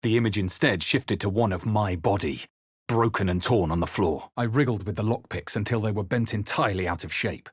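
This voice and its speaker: Deep, husky, brooding fantasy hero. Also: male voice, fairly quick, dry recording, studio quality. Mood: wary, brooding. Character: brooding male fantasy adventurer hero, wary and grave. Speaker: video game player character. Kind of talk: dramatic video game voice lines and narration.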